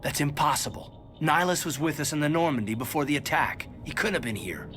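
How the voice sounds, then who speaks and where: A low-key, steady soldier. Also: male voice, fast talker, faint music behind. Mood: disbelieving. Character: steady, cautious male soldier. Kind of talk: video game voice acting.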